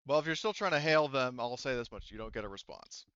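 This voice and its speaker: Wry male game master, clear voice. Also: mid-range voice, lively intonation, fast talker, fairly bright tone, dry recording, dull audio. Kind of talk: casual tabletop game chat and narration.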